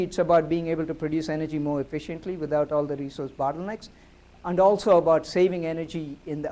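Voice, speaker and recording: A man giving a public talk at a university, amplified through the room's sound system, speaking haltingly with many fillers and restarts. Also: a little high, darkish tone. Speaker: guest speaker at a university lecture. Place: lecture room at a university. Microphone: amplified through a room speaker system.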